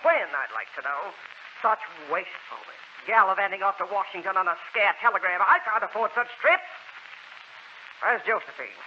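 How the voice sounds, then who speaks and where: An actress playing a stingy, grumpy old aunt who scolds and complains brusquely in a 1940s American radio drama. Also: female voice, mid-range voice, sing-song, normal speed, lightly acted. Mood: scolding. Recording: muffled, noisy 1940s radio recording.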